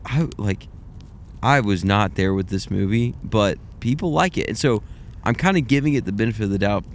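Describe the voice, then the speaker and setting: Low-voiced young man chatting about films. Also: moderate pace, a little hiss or hum. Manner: rambling, hedging, self-deprecating. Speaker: podcast co-host. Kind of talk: casual film review chat.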